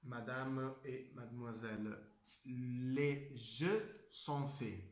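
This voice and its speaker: A man playing a polite travel agent in an amateur role-play, speaking haltingly. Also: medium pitch. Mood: polite, salesmanlike. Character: polite, deliberate male travel agent pitching offers. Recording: roomy echo.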